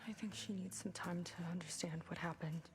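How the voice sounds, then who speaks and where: A woman's voice: fairly low pitch, fairly even intonation, brisk, music in the background, lightly acted. A woman playing a sincere, capable young ally in a video game, earnest and matter-of-fact. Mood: subdued gratitude. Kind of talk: naturalistic video game dialogue acting.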